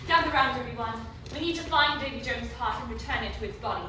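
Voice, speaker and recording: A woman's voice: a little high, fast talker, close to an everyday voice. A woman playing an earnest, devoted pirate crew member in a stage readers theatre performance. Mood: determined. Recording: echoey room, far mic, noisy.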